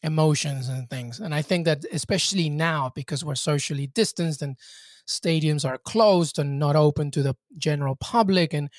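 A man's voice: a little high, normal speed, no echo, studio quality. A man from Peru, a guest on a podcast, talking reflectively in casual chat with many fillers and restarts.

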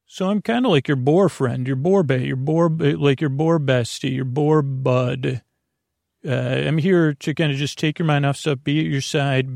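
A man with a creaky, gravelly voice hosting a bedtime podcast, rambling in a halting, meandering way and drifting off into tangents. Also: fairly high pitch, a little mellow, dry recording, studio quality. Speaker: podcast host.

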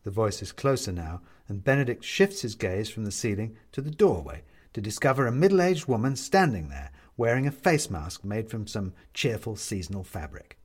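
Animated, slightly husky male storyteller. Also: lowish voice, normal speed, hardly any pauses. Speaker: audiobook narrator. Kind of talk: scripted storytelling narration.

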